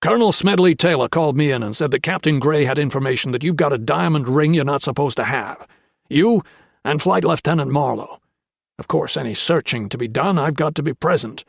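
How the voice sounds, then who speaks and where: A man doing a gruff, barking officer. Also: fairly high pitch, fairly quick, dry recording, studio quality, pitched above the performer's own voice. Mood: gruff, commanding. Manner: blunt, barking orders. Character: gruff American officer, male, blunt and shrewd.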